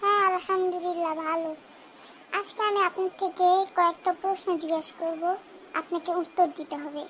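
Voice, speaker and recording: Cartoon kid, teasing and chirpy, as someone puts on the role; very high, sharp voice. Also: fairly even intonation, brisk, slight background noise. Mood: cheeky. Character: cheeky clever young boy quizzing his uncle. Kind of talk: cartoon dubbing.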